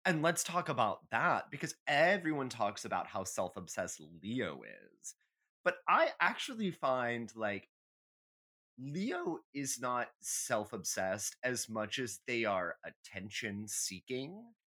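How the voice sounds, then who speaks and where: Animated, bright-voiced man chatting sassily. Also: medium pitch, slow pace. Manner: sassy, opinionated, freely swearing. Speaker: podcast co-host. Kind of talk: casual comedic astrology chat.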